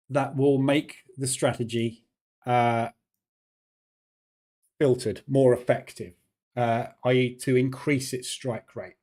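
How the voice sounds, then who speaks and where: Male host of a live trading room, relaxed and warm in tone, talks it through conversationally. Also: medium pitch. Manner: chatty, explains plainly with "yeah" tag questions.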